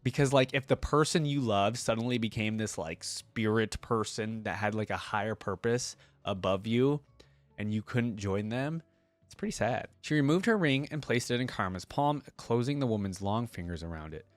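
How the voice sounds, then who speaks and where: A man's voice: fairly low pitch, lively intonation, normal speed, no echo. A man doing casual reaction commentary and read-aloud of game lore, chatting informally with plenty of filler. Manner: informal, filler-heavy asides. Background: music.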